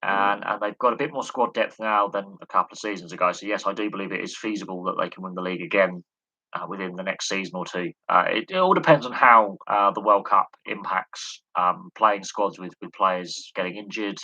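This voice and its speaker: A man with a low, very bright and sharp voice talks sports on a live stream. He is going hoarse and coughs now and then. He rambles, stumbling over repeated words between "um"s. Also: brisk, muffled recording. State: losing his voice, coughing. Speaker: sports commentator answering questions.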